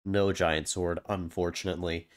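A man's voice: deep voice, little pitch movement, moderate pace. A man with a cold, sounding congested, giving rambling, wry and self-deprecating commentary over his own gameplay as a channel host.

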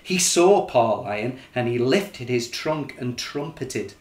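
A middle-aged man reading a children's picture book in an expressive, measured, dramatic storytelling style. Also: mid-range voice. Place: small living room, indoors. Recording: roomy living-room sound.

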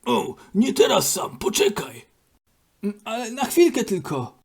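A man's voice: high voice, wildly swooping pitch, a little slow, vocal fry, a little mellow, full character voice. A man voicing a grumpy male fire chief who gives curt, impatient replies in an amateur cartoon dub. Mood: grumbling.

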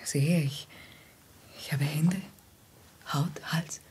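An actress playing a secretive, seductive veiled woman with a hushed, intimate manner in a 1950s German radio drama. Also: low voice, steady tone, breathy edge, exaggerated voice. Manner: slow, hushed, languid, intimate.

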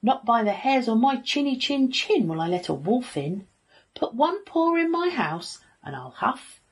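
A woman voicing a rude, lazy young male pig in a children's story, cheeky and defiant, shouting. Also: mid-range voice, big pitch swings, measured pace, vocal fry, husky, pitched above the performer's own voice, exaggerated voice.

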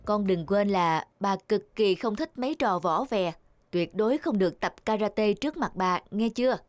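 A woman playing a caring, firm mother who instructs her child in an animated film. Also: mid-range voice, moderate pace, close to an everyday voice. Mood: gently admonishing. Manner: instructive, admonishing. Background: music.

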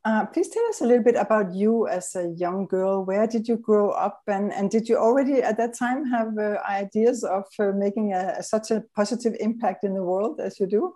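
A middle-aged woman interviewing a guest over a video call, asking friendly questions in a halting way with fillers. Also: medium pitch, fairly even intonation, dark tone. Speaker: interviewer, host. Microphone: video call webcam.

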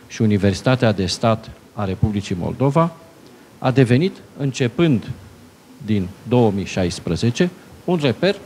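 An older man giving a ceremonial official address at a book fair, pausing often, holding a handheld microphone in a conference hall. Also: mid-range voice, darkish tone, a little hiss or hum. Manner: ceremonial, halting with pauses. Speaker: presidential adviser reading a message.

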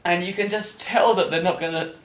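A man's voice: high voice, fairly even intonation, a little fast, a bit bright. A middle-aged man hosting a podcast, chatting casually about working as an entertainer. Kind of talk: casual interview chat. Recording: roomy, echoing room.

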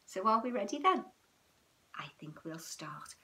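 Lively British woman chatting to children. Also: medium pitch, unhurried. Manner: animated, sing-song, addressing children with frequent tag questions. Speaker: children's storytime and yoga host. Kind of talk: chatty, child-directed livestream talk. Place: small room at home, indoors.

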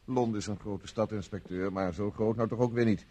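Actor as a husky, suave needler. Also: male voice, fairly low pitch, fairly even intonation, a little fast, dark tone, lightly acted. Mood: smug, teasing. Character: suave, needling male visitor. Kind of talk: radio play acting.

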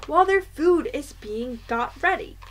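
A woman's voice: high-pitched, animated, a little slow, slight background noise, pitched above the performer's own voice. A woman voicing an eager, clever young boy who has become a mouse, in a storybook reading. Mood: eager, confident.

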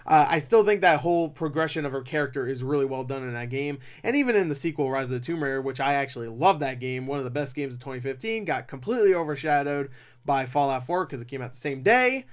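A young man talking casually as a film reviewer, rambling and animated, with opinionated asides. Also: medium pitch, fast talker. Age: young adult. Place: small cluttered room at home.

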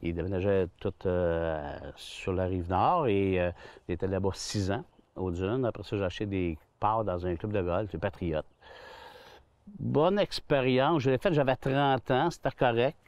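A man's voice: sits low, a little slow, darkish tone, no room sound. Chatty Québécois man in his sixties. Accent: Québécois French. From Quebec. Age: 60s. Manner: chatty, rambling, many restarts and fillers. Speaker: golf club general manager. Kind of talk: relaxed outdoor interview. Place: outdoors on a golf course under trees.

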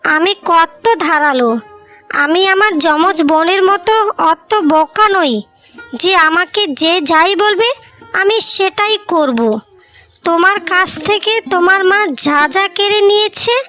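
A sly young wife in a storybook cartoon with a very high, bright, sharp voice, as a woman acts her out. She speaks with a sweet, knowing edge over faint music. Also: measured pace, full character voice. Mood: scheming. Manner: sly, sweet-talking, then rude and lazy. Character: young wife, one of twins, scheming and sharp. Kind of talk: dubbed cartoon voice acting.

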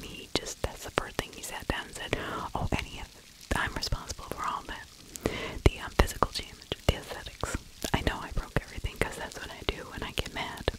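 A middle-aged woman whispering true crime ASMR close to the microphone, breathy, with opinionated conversational asides. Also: poor audio. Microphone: close microphone with furry windscreen.

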